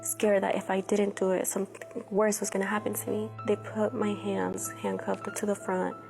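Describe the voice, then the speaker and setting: A teenage girl recounts her ordeal to a reporter in a flat, shaken way. Her voice is gravelly and barely moves in pitch. Music plays clearly beneath the news clip. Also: normal speed. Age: teens. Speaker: interviewee. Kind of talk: news interview.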